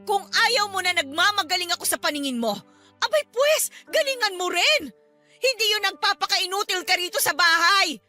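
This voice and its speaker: Radio drama wife: Filipino accent, extremely high, sharp voice, fed up and emotional, scolds back defiantly. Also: female voice, animated, moderate pace, soft background music, heavily acted. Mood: bitter scolding. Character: fed-up, defiant, hard-working wife and mother.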